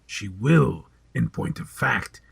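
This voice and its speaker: A man playing a sly, sinister old potion seller in an audio drama, with a gravelly, husky voice and a deliberate, insinuating manner. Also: fairly low pitch, lively intonation, fairly bright tone, no room sound, studio quality, full character voice.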